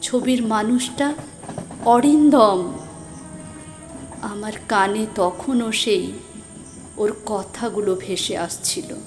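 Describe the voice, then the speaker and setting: A woman's voice: medium pitch, a little mellow. A woman playing an anxious young woman who recounts an eerie night in an emotive, suspenseful audio drama. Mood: sombre, haunted. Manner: emotive, suspenseful, drawn-out delivery. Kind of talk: dramatic scripted first-person story narration. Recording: music behind the voice.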